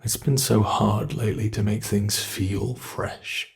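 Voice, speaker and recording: A man with a British accent voicing a sadistic monster that hides under the bed, in an ASMR horror roleplay, talking slowly and slipping into menacing whispers. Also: on the low side, level tone, gravelly. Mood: musing. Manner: slow, gloating, menacing, drops into whispers. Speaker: voice actor.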